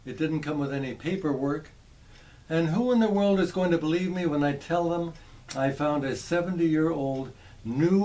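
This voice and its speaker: A man presenting a show-and-tell video in a folksy, deliberate way, with short careful phrases, theatrical exclamations and wry humour. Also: a little high, dark tone. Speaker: presenter, vintage radio collector.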